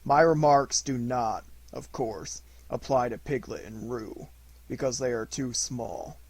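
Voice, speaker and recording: A man voicing a gloomy, self-important old donkey with a slow, ponderous, grumbling delivery in a storybook reading. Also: medium pitch, darkish tone, no echo. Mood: pompous.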